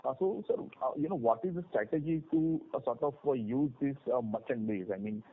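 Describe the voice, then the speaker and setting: Halting Indian man on a phone line. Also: mid-range voice. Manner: halting, hesitant phrasing with many fillers. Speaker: securities analyst asking questions on an earnings call. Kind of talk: question-and-answer on a conference call. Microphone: telephone conference line. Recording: narrow phone line with faint noise.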